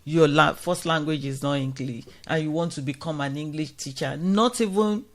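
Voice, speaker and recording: A man's voice: a little high, normal speed, a bit bright. A man hosting a radio talk show, speaking encouragingly and using religious phrasing. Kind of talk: casual radio chat. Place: radio studio.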